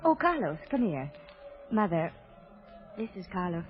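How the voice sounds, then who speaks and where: Young society daughter, polite, gushing, very lively intonation, muffled vintage broadcast. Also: female voice, fairly low pitch, unhurried. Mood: warm, excited. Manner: bright-eyed, gushing, polite. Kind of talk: 1930s-40s radio drama acting. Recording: muffled old radio broadcast.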